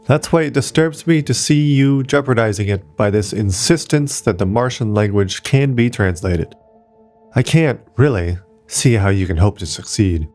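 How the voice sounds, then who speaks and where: Man reading as a tired old archaeologist guy, low voice, gentle, resigned. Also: lively intonation, moderate pace, faint music behind, natural voice. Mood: weary. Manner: gentle, reflective. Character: old weary male archaeologist, kindly and resigned. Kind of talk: audiobook character reading.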